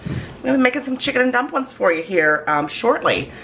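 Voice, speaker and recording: A hoarse woman with a cold, chatting in a rambling, folksy way with lots of ums in her home kitchen. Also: fairly high pitch, sing-song, brisk. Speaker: cooking vlogger. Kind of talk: casual chat to camera. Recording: noisy home recording.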